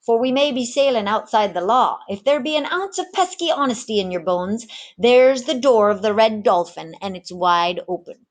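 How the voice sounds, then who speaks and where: Woman acting a roguish pirate mate, pitched up. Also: lively intonation, normal speed, close to an everyday voice. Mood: taunting, grinning. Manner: rough sailor slang, bullying. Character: roguish, scheming one-armed pirate chief mate, male, bossy.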